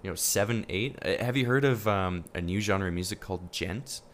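A man's voice: sits low, fairly quick, slight background noise. A man chatting enthusiastically as host, nerdy and curious, with lots of ums. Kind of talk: casual chat.

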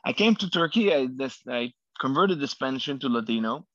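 A man's voice: mid-range voice, expressive, a little fast, fairly bright tone, dry recording. A man whose first language is Hebrew, a rabbi answering interview questions in English with many fillers and restarts. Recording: dull lo-fi dry sound.

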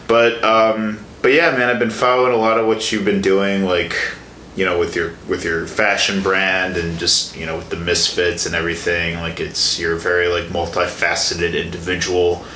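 A young man in his twenties with a fairly low but bright, forward voice hosts a casual interview. His phrasing wanders tentatively, and the room rings with noticeable echo. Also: level tone, normal speed. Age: 20s. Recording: echoey room with some background noise.

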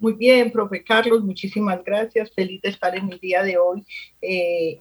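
A woman's voice: medium pitch, darkish tone, slightly rough, rough recording. A woman with a Colombian accent, speaking effusively as co-host of a radio interview. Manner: effusive, complimentary. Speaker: co-host, professor. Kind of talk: radio interview, warm introductions.

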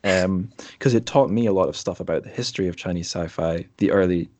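A man's voice: deep voice, fairly quick, a little mellow. A man hosting a podcast, chatting casually and informally through an interview about books, with asides as he explains. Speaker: podcast host.

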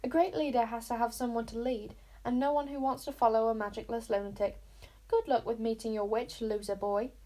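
A woman's voice: a little high, fairly even intonation, fairly quick, mellow, close to an everyday voice. A woman voicing a mocking boy wizard who bullies his rival, taunting and crowing. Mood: gloating.

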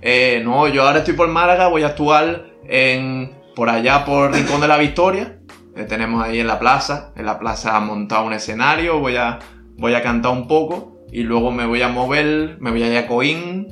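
A man's voice: mid-range voice, normal speed, fairly bright tone, close to an everyday voice. A man playing a brash, touchy male reggaeton singer, full of slang. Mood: boastful. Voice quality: slangy, keeps saying brother, prickly. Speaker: guest artist. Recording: roomy with faint music.

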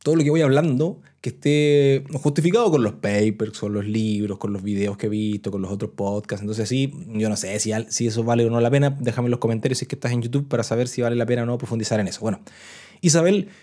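A Chilean man hosts a podcast in casual, chatty talk. His voice is mellow and dark in tone, and his pitch sits in an ordinary middle range. He often restarts phrases as he talks. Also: quick pace. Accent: Chilean Spanish. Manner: chatty, restarts phrases, reads out listener messages. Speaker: podcast host.

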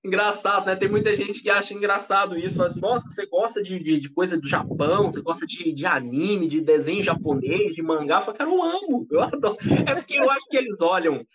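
A Brazilian man in his thirties, a guest in an interview, talking in a chatty, animated way with frequent restarts. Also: high-pitched, fast, crowd noise. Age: 30s. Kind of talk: casual interview talk. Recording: dull lo-fi audio.

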